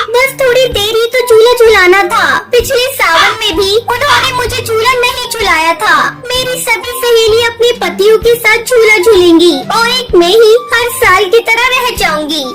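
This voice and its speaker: An extremely high, girlish cartoon bird, a young wife with an excitable, pleading temper. She talks eagerly and insistently over loud background music. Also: normal speed, hardly any pauses. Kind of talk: Hindi children's cartoon dialogue. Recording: loud music bed behind voice.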